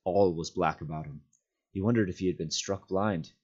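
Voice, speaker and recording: A man with a fairly low voice narrates a fantasy novel in storytelling style. He reads as a volunteer storyteller, and the recording is a little dull and lo-fi. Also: normal speed, darkish tone, husky. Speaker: volunteer community reader.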